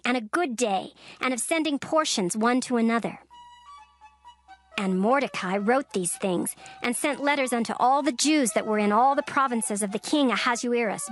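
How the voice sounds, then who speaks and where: A woman reads scripture in a steady, formal way, pacing every phrase carefully. Her voice sits slightly high, and clear music runs underneath throughout. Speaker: narrator.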